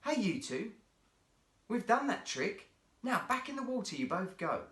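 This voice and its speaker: A man's voice: sits high, sing-song, measured pace, a bit bright, roomy sound, pitched above the performer's own voice, exaggerated voice. A man voicing a jovial zookeeper who presents a seal show in a children's storybook, addressing animals and audience. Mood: surprised, bossy.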